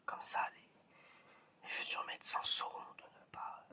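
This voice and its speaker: A man playing a stern, menacing examiner in an ASMR roleplay, whispering slowly and deliberately. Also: pushed and bright. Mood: quietly menacing. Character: stern, menacing examiner testing a suspected robot.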